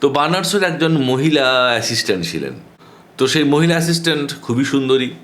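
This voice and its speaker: A man telling humorous anecdotes in a conversational way, with pauses and animated quoting of dialogue. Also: mid-range voice. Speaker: storyteller.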